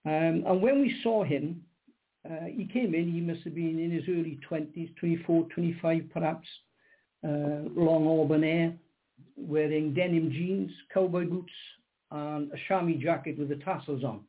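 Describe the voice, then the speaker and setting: A man's voice: fairly high pitch, normal speed, husky. An older man, a guest poet in a radio interview, speaking hesitantly with many ums and repeated words. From Wales. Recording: narrow phone-line sound.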